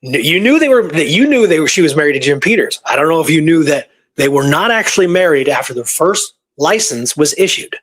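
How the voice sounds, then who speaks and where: A man's voice: a little high, sing-song, fairly quick, few pauses, fairly bright tone, husky. A man hosting a history podcast, conversational and speculative, reading from old records and often checking in with his listener. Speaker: podcast host presenting historical research.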